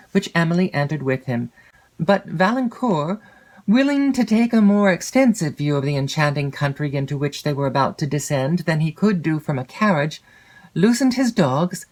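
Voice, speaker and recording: A woman reading a classic literary novel as an audiobook narrator, measured and expressive, lingering over descriptive phrases. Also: low voice.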